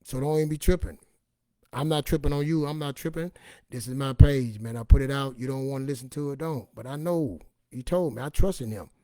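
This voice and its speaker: A raspy-voiced man giving an informal, emphatic personal testimony in a streetwise, repetitive way, acting out bits of dialogue as he goes. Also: medium pitch, fairly quick, warm tone, no room sound, clean studio sound. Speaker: online motivational and faith speaker.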